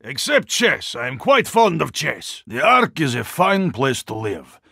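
A male actor playing a huge, earnest, courteous Russian yeti in a video game, with a Russian accent, gravelly voice and formal, stilted phrasing. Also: mid-range voice, big pitch swings, unhurried, sharp tone, no room sound, clean studio sound, exaggerated voice. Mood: earnest, dry. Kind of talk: video game character voice lines.

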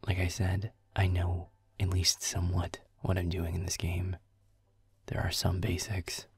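Man playing a gravelly, muttering grumpy werewolf boyfriend. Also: low voice, monotone, measured pace, faint music behind, exaggerated voice. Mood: defensive. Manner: muttering, defensive, sarcastic. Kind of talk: roleplay audio, gaming chat with listener.

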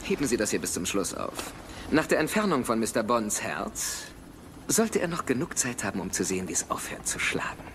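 A man's voice: lowish voice, very animated, brisk. A man playing a gloating, sadistic media mogul in a dubbed action film, speaking formally and pompously. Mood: coldly sadistic. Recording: film soundtrack with faint noise.

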